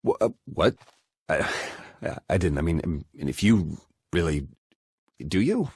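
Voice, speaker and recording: An actor playing a reckless, lovesick male spy in a game cutscene, earnest and halting. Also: sing-song, a little mellow, no echo, clean studio sound, heavily acted. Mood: flustered, tender. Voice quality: low, husky voice. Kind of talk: video game cutscene acting.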